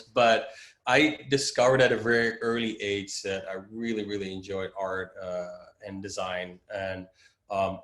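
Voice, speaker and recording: A man's voice: sits low, fairly bright tone, reverberant. A man in his forties, a creative director casually telling his story in an interview, with many fillers and restarts. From Netherlands.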